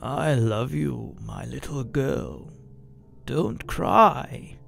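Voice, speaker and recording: A man voicing the gentle ghost of an old father, talking softly and tenderly. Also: medium pitch, very animated, gravelly, faint music behind, heavily acted. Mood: consoling. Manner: soft, tender, unhurried.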